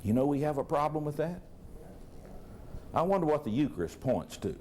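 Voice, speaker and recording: A man preaching a sermon to a live congregation, folksy and animated, with a loud voice and frequent rhetorical questions. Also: on the high side, moderate pace, slight background noise.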